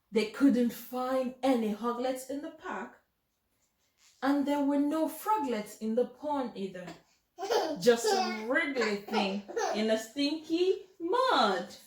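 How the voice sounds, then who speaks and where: A woman reading a children's picture book aloud expressively, addressing young listeners directly as a storytime host. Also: sits high. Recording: roomy, echoing home recording.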